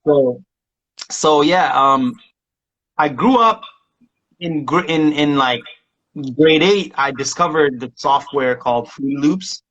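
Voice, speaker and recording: A man's voice: a little high, lively intonation, takes it slowly, pauses often, fairly bright tone, muffled recording. A man from Somalia, a music producer chatting casually as a guest and rambling with many restarts and laughs. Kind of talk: casual interview chat.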